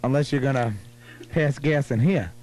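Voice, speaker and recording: A man's voice: mid-range voice, normal speed, a little mellow. A man bantering casually and playfully as a radio host. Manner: playful, teasing. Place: radio studio. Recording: 1980s radio aircheck with music behind. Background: music.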